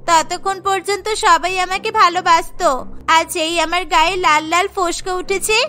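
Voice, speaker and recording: A woman's voice: very high-pitched, steady tone, moderate pace, pushed and bright. A woman voicing a childlike schoolgirl in a cartoon story, with a plaintive, exaggerated manner. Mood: hurt, pleading. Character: schoolgirl, childlike, whiny and pitying.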